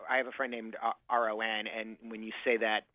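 A man's voice: fairly low pitch, little pitch movement, fairly quick, no echo. A man from Michigan, a dictionary editor, answering questions on a radio call-in show, hesitant with many ums and restarts. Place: remote studio in Boston. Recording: dry, phone-like audio.

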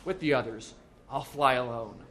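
A man's voice: medium pitch, moderate pace, a bit bright, slight background noise, close to an everyday voice. A man voicing a heroic, world-weary wartime flying captain in a storybook, with clipped, careless bravado. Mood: weary resolve.

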